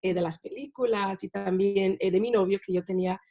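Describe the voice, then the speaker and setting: A woman, a non-native Spanish speaker, talking as a guest about teaching in a relaxed interview, with many fillers and tag questions. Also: mid-range voice, a little fast. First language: not Spanish. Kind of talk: casual interview talk.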